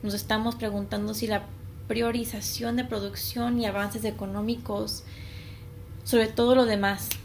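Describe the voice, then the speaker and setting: A woman's voice: medium pitch, flat delivery, normal speed. A woman raised in Ecuador and the United States, a guest giving careful, prepared answers and stumbling on longer words. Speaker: guest, consultant. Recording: noticeable background noise.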